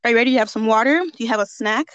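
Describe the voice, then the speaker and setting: A bubbly woman host speaks a touch high, chatty and quick to laugh over a remote call. Her nose sounds a little congested, and the audio is dry and close with no room around it. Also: fairly bright tone, smooth, dry recording, lo-fi sound. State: slightly congested. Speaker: podcast host. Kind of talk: reading an introduction, then casual chat.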